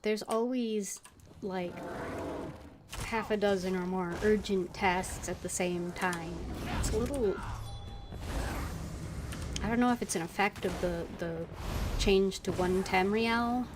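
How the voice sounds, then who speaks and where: A woman's voice: mid-range voice, slow pace, long pauses. A woman casually commentating on her own gameplay as a streamer, musing and thinking aloud. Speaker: game streamer. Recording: clear background noise, keyboard typing.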